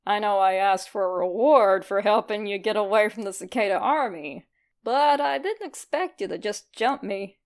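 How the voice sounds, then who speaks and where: A woman's voice: medium pitch, normal speed, pitched below the performer's own voice, close to an everyday voice. A woman voicing a sheepish, flirty male skeleton monster with a casual, teasing drawl in a story reading.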